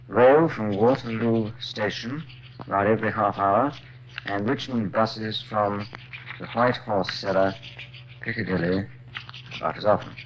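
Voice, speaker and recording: A man's voice: lowish voice, a little hiss or hum. Formal male doctor, muffled old radio. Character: smooth-talking Victorian doctor, secretive and falsely soothing. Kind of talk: 1950s radio drama acting.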